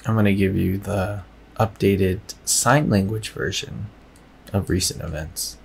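A middle-aged man giving laid-back, joking reaction commentary as a gaming streamer, in a husky, gravelly voice. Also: steady tone, measured pace, a little mellow, a little hiss or hum. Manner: laid-back, low-key talk, joking. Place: indoor room, streaming setup. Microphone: desktop microphone.

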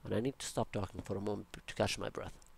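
A young man with an Arabic accent narrates his building session over gameplay in a relaxed, chatty way. He rambles, jokes and mutters to himself, in a lowish voice recorded close on a desktop mic. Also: fast talker, vocal fry, slight background noise. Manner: chatty, rambling, jokey asides and self-talk. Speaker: Minecraft building channel host. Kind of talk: casual gameplay commentary.

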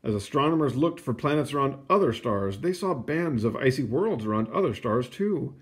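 A man reading a children's book aloud in an expressive, explanatory way, with casual asides. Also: mid-range voice, moderate pace. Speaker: picture book reader.

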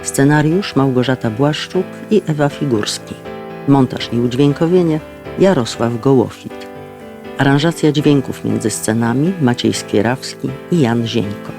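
A man's voice: medium pitch, a little slow, creaky. A man reading scripted credits and sponsor announcements as the announcer of an audio drama. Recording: guitar music behind the voice. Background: plucked guitar music.

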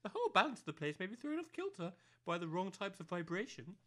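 A woman's voice: medium pitch, big pitch swings, quick pace. A woman playing a pompous, snobbish new-age academy head in an amateur comedy audio drama, speaking precisely in lofty jargon. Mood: haughty.

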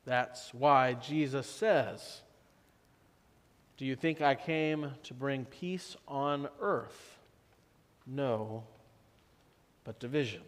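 A man in his thirties preaches from the pulpit in a church sanctuary, his voice a touch higher than most men's. He speaks slowly and deliberately, and he lets key phrases fall quieter. A long reverb from the room trails behind his words. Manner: slow, measured preaching with drops to soft emphasis. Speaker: pastor. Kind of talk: sermon.

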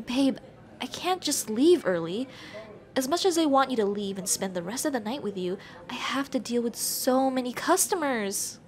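A woman's voice: fairly high pitch, lively intonation, moderate pace, lightly acted. A young woman playing an affectionate, playfully bossy café-owner girlfriend in an ASMR roleplay, speaking expressively to the listener as her partner. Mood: weary, earnest. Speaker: roleplay voice actor.

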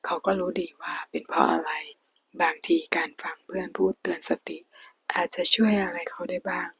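Woman storyteller, whispered narration, gravelly tone, breathy and husky. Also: fairly low pitch, level tone, a little fast, rough recording. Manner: soft whispering delivery.

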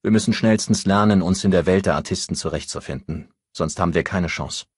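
Man playing a curt, husky police inspector. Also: deep voice, fairly quick, dry recording, studio quality. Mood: grim, urgent. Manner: curt, demanding, energetic. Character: harried, impatient police inspector and father.

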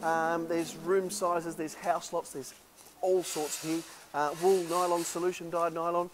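A man's voice: fairly high pitch, flat delivery, a little slow, a little hiss or hum. A middle-aged man, an auctioneer walking through a warehouse and talking up the lots in a chatty salesman patter full of fillers. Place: large warehouse indoors.

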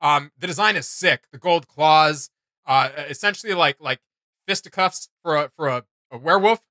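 A man's voice: on the high side, normal speed, bright, forceful tone, no echo. A man in his thirties chatting casually and emphatically as an enthusiastic host of reaction videos. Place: small home room with computer desks, indoors.

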